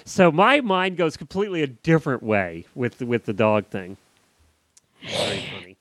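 A man's voice: medium pitch, moderate pace, no room sound. A man co-hosting a podcast in casual chat, with a jovial way of talking. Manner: jovial, often chuckling. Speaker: podcast host.

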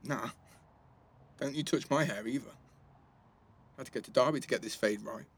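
Give the part to a cheeky teenage boy in an audio drama. His voice is mid-pitched and a bit husky, and he talks in guarded, slangy banter. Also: normal speed. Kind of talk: naturalistic audio drama dialogue.

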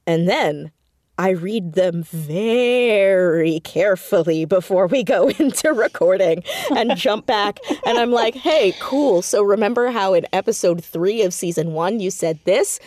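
A woman speaking as a creative guest in a casual interview, animated and self-deprecating, often laughing as she talks. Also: mid-range voice, dry recording, studio quality. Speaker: podcast guest, audio drama creator and writer.

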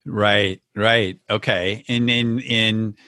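A man's voice: fairly low pitch, fairly even intonation, extremely slow, bright, forceful tone, no room sound, studio quality. A man hosting an interview, affirming his guest and repeating words as he starts his sentences. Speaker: podcast host. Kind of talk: conversational interview.

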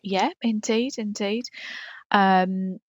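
A woman's voice: medium pitch, steady tone, slow, no room sound, muffled recording. A woman playing a saucy middle-aged podcaster who chats agreeably and hesitantly, matter-of-fact about smut. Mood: agreeable, reflective. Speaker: podcast co-host. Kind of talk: comic character chat.

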